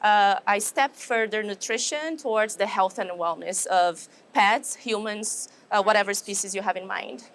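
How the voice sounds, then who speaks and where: Brazilian woman explaining research findings. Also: medium pitch. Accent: Brazilian Portuguese. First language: Portuguese. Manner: measured, explanatory, frequent fillers and restarts. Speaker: animal science professor, guest. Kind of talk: interview answers. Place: trade show.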